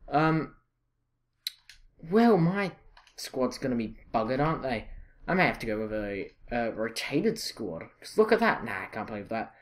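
A man's voice: mid-range voice, a little slow. A young man with a Scottish accent talks over his football game footage in a loose, chatty way. He fills gaps with ums and uhs and corrects himself mid-sentence, and his voice jumps up when things go well. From Scotland. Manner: chatty, excitable, many fillers and self-corrections. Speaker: gaming channel host. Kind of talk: casual gameplay commentary.

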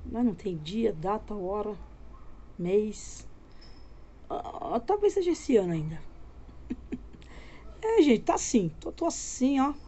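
A woman's voice: medium pitch, big pitch swings, slow, long pauses, warm tone, slight background noise. Chatty Brazilian woman vlogging from bed. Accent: Brazilian Portuguese, Minas Gerais. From Minas Gerais, Brazil. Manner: chatty, loose, addresses viewers as galera. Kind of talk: casual home vlog chat. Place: home bedroom, lying in bed. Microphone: phone camera held close.

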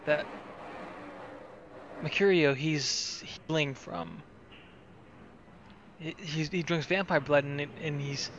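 A man's voice: a little high, creaky, a bit bright, highs cut off, a little hiss or hum. A man improvising in-character roleplay over a video game, casual, hesitant and stammering. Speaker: gamer roleplaying the player character.

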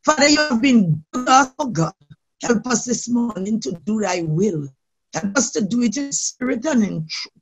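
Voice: medium pitch, animated, normal speed, breathy edge, low-quality recording. A worship leader praying aloud in a fervent, impassioned, pleading way, calling on God again and again.

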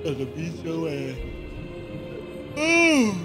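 A man's voice: a little high, wildly swooping pitch, takes it slowly. A young American man doing hyped, slangy let's play commentary on a headset. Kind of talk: excited gaming commentary. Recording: game music behind.

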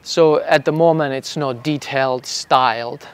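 A man's voice: mid-range voice, a little slow, smooth. A middle-aged man from Czechoslovakia, explaining patiently in an outdoor garden with many fillers and restarts. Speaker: bonsai artist. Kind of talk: casual explanation. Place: outdoor garden under a wooden pergola.

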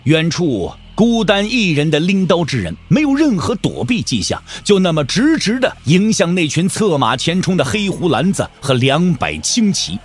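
Lively male storyteller, high-pitched and animated. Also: moderate pace, hardly any pauses, slightly rough. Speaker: narrator of an audio drama. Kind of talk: dramatic scripted narration of martial-arts fiction.

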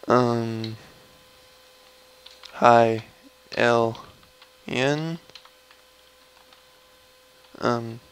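A young man with a low voice, rambling casually through gameplay commentary at his computer. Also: steady tone, fairly bright tone, rough recording. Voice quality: low, gravelly voice. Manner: slow, mumbly and rambling, trailing off. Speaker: gamer streaming a mining session.

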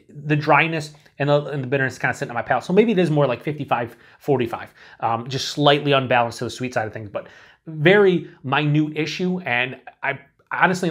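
A man's voice: medium pitch, a bit bright, husky. A man in his forties, chatting casually to camera as he reviews a beer, thinking aloud with lots of fillers and restarts, in a small indoor room.

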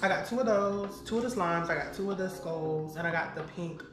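Animated vlogger woman in an echoey kitchen. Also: on the low side, fairly quick. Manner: animated, playful slang, repeats catchwords. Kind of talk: excited casual vlog, grocery haul. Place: home kitchen, indoors. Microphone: camera on the counter, a few steps away. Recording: echoey kitchen with music behind.

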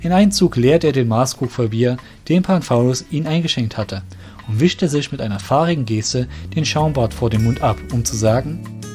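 A man's voice: medium pitch, expressive, moderate pace. A man narrating a scripted fantasy story as the narrator of an audio drama. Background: soft music.

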